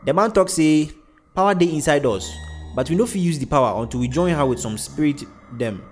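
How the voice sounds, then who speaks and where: A man's voice: fairly high pitch, expressive, a little fast, soft background music, voice pushed up, lightly acted. A man voicing a deferential, earnest young Nigerian man who speaks Pidgin with a Nigerian accent, in an audiobook. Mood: deferential, eager.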